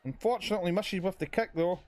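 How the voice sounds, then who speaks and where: A man with a hoarse voice commentating a video game wrestling match and hosting his channel, with an announcer's style. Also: fast talker, darkish tone. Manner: hype announcer, booming when calling the finish.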